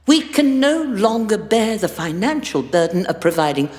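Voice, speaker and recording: An actress playing a haughty, condescending chancellor with a pompous, theatrical delivery. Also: female voice, medium pitch, sing-song, normal speed, a little mellow, natural voice. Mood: exasperated, grandiose. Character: haughty, imperious female chancellor, fussy and condescending. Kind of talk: audio drama acting. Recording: echoey hall with long reverb.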